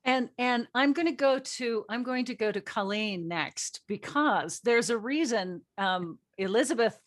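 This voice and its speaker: A woman's voice: fairly high pitch, lively intonation, measured pace. A woman speaking casually as the host and playwright at an informal cast panel.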